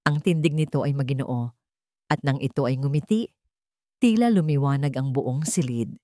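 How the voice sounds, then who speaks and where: A woman's voice: rumbling low, normal speed, dark tone, dry recording, studio quality. A woman narrating a scripted dramatic story as a storyteller.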